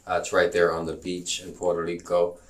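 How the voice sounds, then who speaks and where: Low-voiced male teacher, rambling live-stream lecture, heard from back off the mic, lots of uhs. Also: completely flat delivery, fairly quick, recorded from a distance. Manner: rambling, many fillers and restarts, joking asides. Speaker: online geography teacher.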